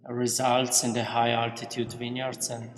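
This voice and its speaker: A man from South Tyrol answering interview questions as a winery representative, halting and searching for words. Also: medium pitch, completely flat delivery. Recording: echoey, reverberant hall-like room.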